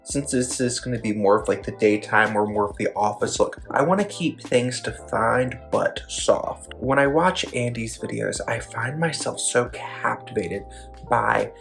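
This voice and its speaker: A man narrating a makeup tutorial, gently explaining each step in detail. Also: a little slow, creaky, faint music behind. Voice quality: describes own voice as deep.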